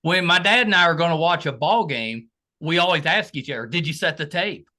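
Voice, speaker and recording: A woman's voice: lowish voice, brisk, pushed and bright. A woman interviewing casually on a podcast, agreeable and reflective, in informal everyday phrasing.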